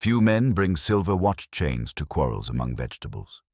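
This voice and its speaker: A man voicing a patient, detached, polite police inspector in a mystery story, soft-spoken and formal. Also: rumbling low, sing-song, moderate pace, no room sound, studio quality, exaggerated voice. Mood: patient, dry.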